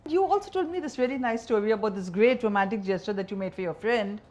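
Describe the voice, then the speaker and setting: A woman's voice: medium pitch, expressive, fast talker, a little mellow. A woman with an Indian accent asking questions as an interviewer, in an informal and inquisitive way. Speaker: interviewer, senior journalist. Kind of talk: conversational interview.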